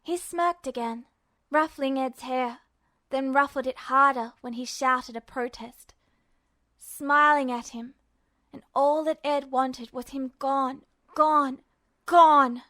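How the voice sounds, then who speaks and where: Female fiction narrator, high register, dramatic audiobook delivery, dry recording. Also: fairly even intonation, slow pace, a bit bright, no room sound.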